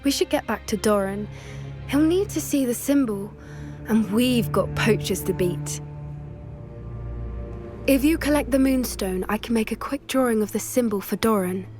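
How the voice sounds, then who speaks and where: A woman's voice: on the high side, normal speed, pauses often, over music, lightly acted. A woman playing an earnest, wistful teenage witch who loves animals, with a British accent, in confiding and articulate video game dialogue. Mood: brisk, determined.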